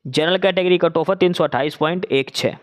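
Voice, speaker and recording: A man's voice: on the high side, fairly quick. A man who hosts an exam-results channel, explaining cutoff lists in a casual, repetitive way, often restarting phrases and switching numbers into English.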